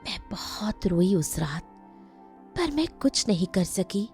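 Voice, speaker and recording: Casting: young female ghost queen played by a woman, gentle, wronged, solemn; mellow, unhurried delivery for a cartoon fable. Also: medium pitch, big pitch swings, soft background music. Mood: grieving.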